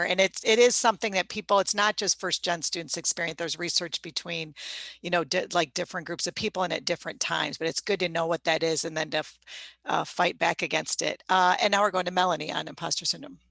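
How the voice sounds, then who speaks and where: Gravelly, bright-voiced woman moderating a panel. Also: lowish voice, no room sound. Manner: encouraging, with frequent restarts.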